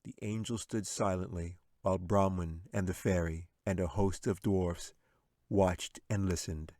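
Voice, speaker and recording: Guy reading a fantasy audiobook, very low voice, dramatic and measured, dry sound. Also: steady tone, dark tone, no room sound. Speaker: author reading own audiobook. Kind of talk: scripted fantasy story narration.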